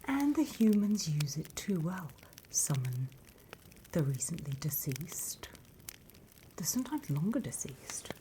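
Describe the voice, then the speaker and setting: A British woman gives a whispered ASMR roleplay lesson in a low, breathy voice. She takes her time, speaking slowly and gently as a conspiratorial instructor. There is clear background noise on the recording. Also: very animated. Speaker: ASMR roleplay performer playing a demons' class instructor.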